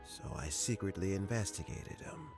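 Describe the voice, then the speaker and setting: A man's voice: low voice, expressive, normal speed. A man playing a gracious, weary old official in a video game. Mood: reflective, rueful. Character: weary, gracious elderly male statesman. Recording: music behind the voice.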